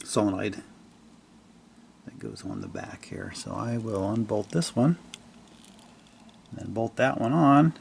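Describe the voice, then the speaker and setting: A man casually narrating a hands-on repair demonstration in a halting, unscripted way, recorded in a home workshop on a camera mic. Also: fairly low pitch, big pitch swings, vocal fry, slight background noise. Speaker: hobbyist repairer presenting his own video.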